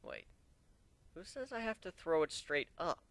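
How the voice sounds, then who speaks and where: A man's voice: fairly high pitch, huge pitch swings, measured pace, creaky, a bit bright, pitched above the performer's own voice, full character voice. A man voicing a brash, scheming griffin fighter in a dramatic story reading. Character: male griffin warrior, brash, cocky, scheming mercenary.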